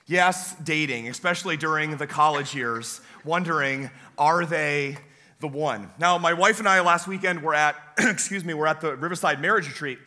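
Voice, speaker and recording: A young man preaching from a church pulpit, his voice hoarse and weaker than usual. He tells folksy, self-deprecating stories with plenty of filler sounds, in a bright, forceful tone pitched a little above typical for a man. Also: moderate pace. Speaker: campus pastor. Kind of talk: sermon. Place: church sanctuary.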